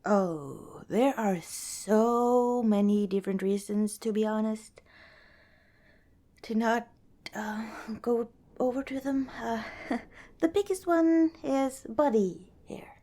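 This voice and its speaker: A woman playing a kind, cautious young scavenger in an ASMR roleplay, speaking softly and hesitantly, as if chatting to the listener. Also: a little high, takes it slowly. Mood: candid, relaxed. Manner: soft, hesitant, conversational, with sighs and small laughs.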